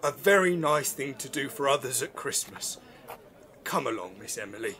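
An actor playing a dutiful, put-upon servant in an audio drama, polite and deferential. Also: male voice, mid-range voice, animated, normal speed, crowd noise, lightly acted. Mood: deferential, kind.

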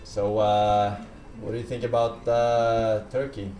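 A man's voice: on the low side, little pitch movement, slow, warm tone, slight background noise. A man in his thirties chatting casually and dryly while streaming a game on a close mic. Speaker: livestreamer playing an online game. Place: small room with green curtain. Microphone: close desk microphone with pop filter.

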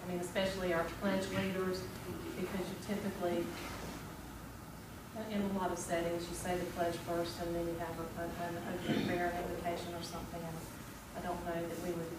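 A middle-aged woman talks at a public board meeting in a slightly low, very flat voice, stopping and repeating words as she weighs her point. She is heard from a distance, with heavy room echo and background noise. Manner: hesitant, repeats words, measured. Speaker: school board member. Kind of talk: formal meeting discussion. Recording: echoey room, far from mic, background noise.

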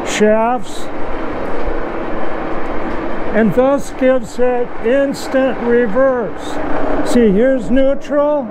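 A man's voice: extremely high pitch, drawn-out words, long pauses. An older man, a machinist, giving a casual, plainspoken workshop demonstration as he works, explaining each step. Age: 60s or older. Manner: plainspoken, hands-on explaining while working. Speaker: machinist presenter. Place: small home machine shop, indoors. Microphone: furry lavalier clipped at chest. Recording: loud machinery noise behind voice. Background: running lathe racket.

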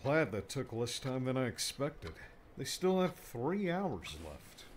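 Man as weary wry father, dry grumble, lively pitch, faint noise, audiobook character. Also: takes it slowly. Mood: wry, strained.

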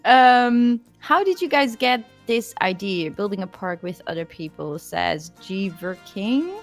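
A woman's voice: medium pitch, animated, a little slow, soft background music. A woman guest in a casual online chat, giggly and enthusiastic. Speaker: co-host guest.

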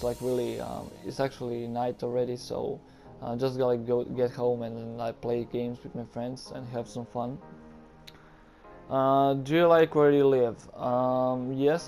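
A young Croatian man answers viewer questions over gameplay in English, his second language, with a Croatian accent. He sounds unsure, filling gaps with ums and likes. His voice is flat and gravelly, with faint music behind it. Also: mid-range voice. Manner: hesitant, many ums and likes, nervous. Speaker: gaming YouTuber. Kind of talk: casual Q and A over gameplay footage.

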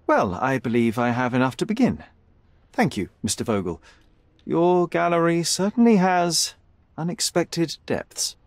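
A young, analytical detective for a game cutscene. He speaks politely and coolly in a slightly high voice with plenty of movement in pitch. The sound is dry and close. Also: very animated, unhurried, dry recording. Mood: polite, probing. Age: young adult. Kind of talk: video game cutscene acting.

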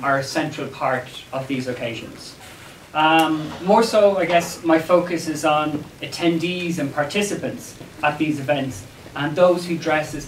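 A man with an Irish accent presenting an academic paper in a measured, slightly hesitant way to a seminar room. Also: fairly high pitch, a bit bright. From Ireland. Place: wood-panelled seminar room, indoors. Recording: echoey room, distant mic, background noise.

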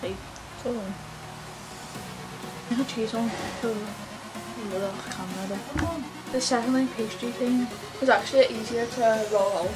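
A woman's voice: a little high, fairly even intonation, measured pace, pauses often, rough recording. Chatty teenage girl. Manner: chatty and rambling, mumbles quietly while busy with her hands. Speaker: vlogger. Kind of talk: casual vlog chat while cooking. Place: small bedroom indoors. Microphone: camera mic close up. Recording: loud music playing under the talk.

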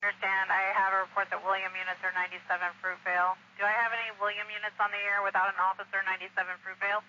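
American guy dispatching on police radio, fast and sharp, clipped radio talk. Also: high-pitched, monotone. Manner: clipped, procedural. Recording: muffled scanner radio, faint static.

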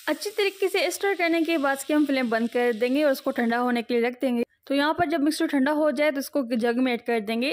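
A woman host giving a steady, step-by-step voice-over for a cooking video. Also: high-pitched. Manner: steady instructive, repeats cooking steps. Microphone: voice-over, not seen speaking.

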